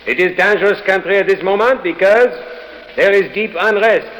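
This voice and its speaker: An actor playing a stern, secretive spymaster who gives orders formally and deliberately in an old radio adventure. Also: male voice, sits high, fairly bright tone. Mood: solemn. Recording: reverberant old radio drama recording.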